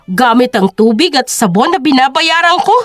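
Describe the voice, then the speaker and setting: A woman's voice: high-pitched, expressive, normal speed, fairly bright tone, no echo, soft background music. Woman voicing a haughty, sneering rich mother-in-law. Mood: scornful. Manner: scornful, belittling, commanding.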